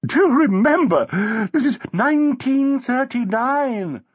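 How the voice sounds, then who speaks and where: A man narrating a story and voicing a sprightly, flamboyant society wife, shrill, affected and gushing. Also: animated, slow pace, slightly rough, lo-fi sound, heavily acted. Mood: insistent, teasing.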